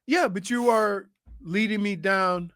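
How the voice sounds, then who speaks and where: A man's voice: high-pitched, fairly bright tone, dry recording, clean studio sound. A man co-hosting a sports radio show, playfully protesting and joking with the others. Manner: playful, protesting, quick to joke. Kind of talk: casual sports radio banter.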